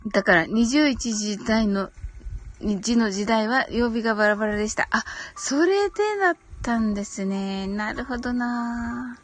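High-voiced lady on a casual livestream, polite and agreeable, lots of little mm-hms, music in the background. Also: a little slow. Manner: polite, agreeable, frequent backchannel murmurs. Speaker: live audio stream host reading listener comments. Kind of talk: casual live-stream chat. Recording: music playing behind the voice.

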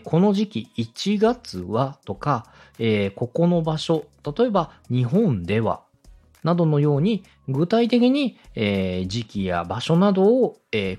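A male host speaks with animated, widely moving pitch and a slightly rough, husky tone. He coaches politely and methodically, dropping in sample phrases as demonstrations. Faint music plays behind his voice. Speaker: radio director and podcast host giving advice. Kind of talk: step-by-step coaching on presenting.

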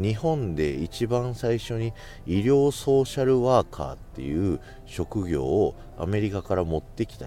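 Very deep-voiced man chatting solo. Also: dark tone. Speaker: podcast host who works in radio sales. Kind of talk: casual solo chat.

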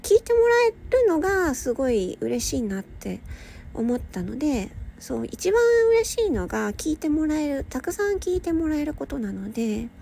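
A woman's voice: high voice, sing-song, moderate pace, gravelly, slight background noise. A woman chatting casually to her listeners, hesitant and meandering, often giggly, sounding tired with slightly slurred speech. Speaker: audio channel host and hobby voice performer. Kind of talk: casual solo chat to listeners. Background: soft background music or ambience.

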